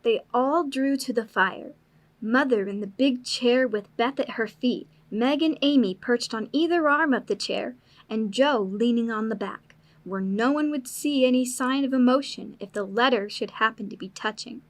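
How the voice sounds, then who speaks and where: A woman's voice: a little high, normal speed. A woman narrator reading a classic family story in a scripted storybook style.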